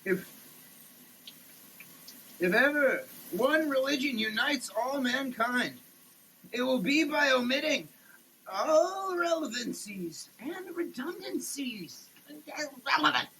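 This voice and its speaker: A person giving a dramatic, declamatory reading in a halting way, often repeating words. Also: sits high, very animated, vocal fry, sharp tone. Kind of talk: dramatic, theatrical reading of a product label. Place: in a running shower. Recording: noisy wet shower recording.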